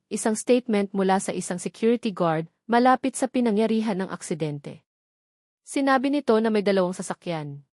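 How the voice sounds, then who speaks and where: A woman reading a dramatic love story as a steady, scripted narrator. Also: mid-range voice, no echo, clean studio sound.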